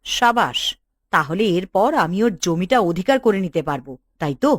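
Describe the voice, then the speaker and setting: A woman's voice: fairly low pitch, animated, moderate pace, no room sound, studio quality. A woman playing a bossy, scheming government official in a cartoon, with a commanding, dismissive way of talking. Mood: smug, scheming. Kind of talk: Bengali cartoon dialogue.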